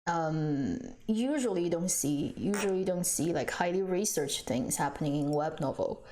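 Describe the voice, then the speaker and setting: A woman's voice: on the low side, lively intonation, moderate pace, mellow. A woman whose first language is Chinese, chatting casually on a live stream and answering viewers. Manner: chatty, frequent restarts, laughs often.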